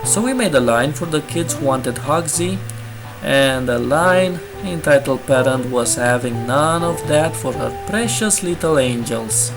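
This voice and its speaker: A man's voice: medium pitch, animated, a little slow. A man hosting a channel and reading entitled-parent stories aloud in a casual, jokey way. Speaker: host. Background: guitar music.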